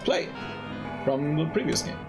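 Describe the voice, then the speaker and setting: A man giving casual live speedrun commentary in a playful, halting way, often repeating words. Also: a little high, expressive, gravelly. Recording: loud game music behind voice. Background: game music, keyboard typing.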